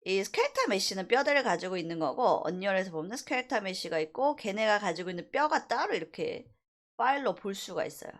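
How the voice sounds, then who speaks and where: Lively woman teaching a software tutorial. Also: medium pitch, fast. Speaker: university animation instructor.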